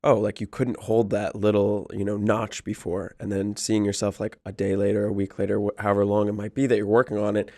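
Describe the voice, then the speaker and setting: Low-pitched man hosting casual chat. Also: completely flat delivery, a little fast, hardly any pauses, dark tone. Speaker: podcast host, interviewer. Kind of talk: casual conversational interviewing.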